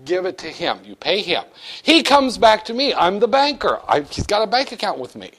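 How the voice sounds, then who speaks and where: A man's voice: high voice, a bit bright. A man giving an economics lecture in a playful way, acting out little dialogues between a banker and customers to explain his points. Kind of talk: classroom lecture.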